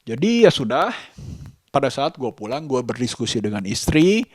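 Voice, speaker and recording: A man talking casually as a vlogger, halting and deliberate, repeating phrases for emphasis. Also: mid-range voice, sing-song, dry recording, clean studio sound. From Indonesia. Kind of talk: casual vlog monologue.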